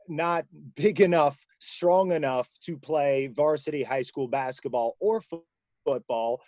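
A man's voice: a little high, a little mellow, no echo. A man from Indiana hosting a casual sports podcast, asking chatty, folksy follow-up questions. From Indiana, USA. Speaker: podcast host.

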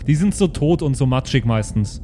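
A man's voice: mid-range voice, lively intonation, moderate pace, warm tone, natural voice. A man playing a naive, squeamish son in a bounty-hunter crew, speaking simply in an improvised audio play with noise. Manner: simple, childlike, plain-spoken. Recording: clearly noisy background.

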